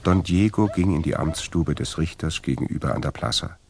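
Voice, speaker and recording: Very deep, gravelly male narrator. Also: animated, brisk, background noise. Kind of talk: scripted story narration for radio drama. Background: plucked guitar music.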